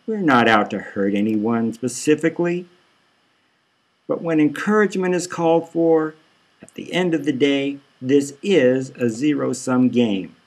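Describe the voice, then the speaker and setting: A man reading pompous Doom Man, slow-spoken villain. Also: medium pitch. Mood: cold, emphatic. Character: Doom Man, smug masked male extremist villain.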